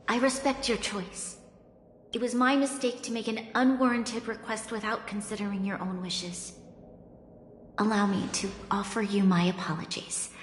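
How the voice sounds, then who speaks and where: Actress as courteous young lady leader in a game, gentle n formal, medium pitch, very echoey hall. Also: a little hiss or hum, natural voice. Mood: apologetic. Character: courteous, gracious young woman ruler. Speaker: video game voice actor. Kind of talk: dramatic game dialogue. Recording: echoey large hall reverb, faint music.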